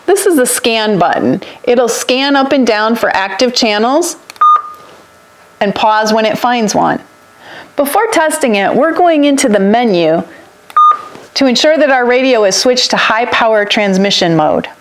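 Higher-voiced American woman explaining gear. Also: expressive, a little slow. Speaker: overlanding channel co-host. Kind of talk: explanatory product demonstration and narration. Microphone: lapel mic. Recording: some background ambient noise.

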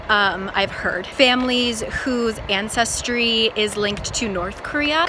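A woman's voice: a little high, sharp tone. A young woman chatting casually and informally to camera as a travel vlogger, recorded outdoors on a handheld phone. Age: young adult. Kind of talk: casual vlog chat to camera. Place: outdoors in an open park and parking lot. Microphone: phone at arm's length. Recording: outdoor noise, wind and traffic ambience.

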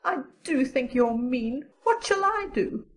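A woman's voice: high voice, animated, unhurried, a little mellow, slightly rough, exaggerated voice. A woman voicing a young schoolgirl, a plaintive and coaxing best friend, in an audiobook. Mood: pleading, upset. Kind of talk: audiobook character dialogue.

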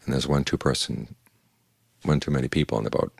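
An older man, a Buddhist monk, giving a calm, measured evening meditation talk in plain words. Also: a bass voice, vocal fry, breathy edge, dry recording.

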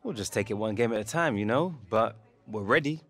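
A young British footballer in a video game drama. He is modest and guarded, with a fairly low, natural voice. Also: animated, brisk, a bit bright. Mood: modest, polite. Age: young adult.

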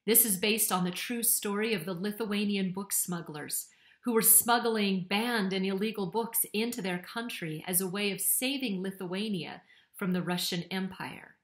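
A woman's voice: mid-range voice, moderate pace. A middle-aged woman, a novelist, giving a prepared talk to camera from a home office. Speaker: historical novelist for young readers. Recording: roomy, echoing home office sound.

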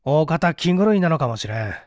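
A man's voice: a little high, very animated, moderate pace, dry recording, studio quality. Man as a blunt, dismissive husband. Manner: blunt, brusque masculine speech. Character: gruff, dismissive husband and father.

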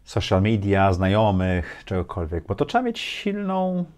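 Lowish-voiced man hosting a casual interview. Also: big pitch swings, measured pace, darkish tone. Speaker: host, interviewer. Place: small indoor studio with armchairs and bookshelves.

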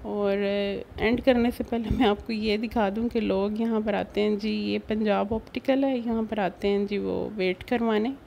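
A Pakistani woman vlogger with a soft-edged, mellow voice at a medium pitch. She chats casually through her narration, pausing on fillers as she goes. There is noticeable noise on the recording. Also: fairly even intonation, creaky. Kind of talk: casual shopping vlog narration.

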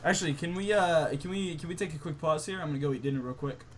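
A young man bantering casually and telling stories in an incredulous, animated way over an online game. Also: fairly high pitch, fast, slight background noise. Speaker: gaming story host.

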